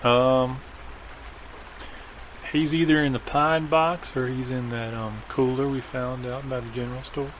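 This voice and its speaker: A man's voice: mid-range voice, steady tone, a little slow, close to an everyday voice. Improv comedy: man as reluctant, folksy, dithering digger, mid-range voice, ambient noise. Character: hesitant, reluctant, folksy man digging with his pal. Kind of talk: improvised audio comedy sketch. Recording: clear background ambience noise.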